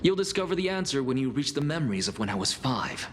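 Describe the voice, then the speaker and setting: A man's voice: mid-range voice, big pitch swings, fast, slight background noise, close to an everyday voice. A man voicing a proud, sardonic scholar and defiant alchemist in a video game, with a dry, theatrical, biting delivery. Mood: sardonic, irritated. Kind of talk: dramatic video game voice acting. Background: music.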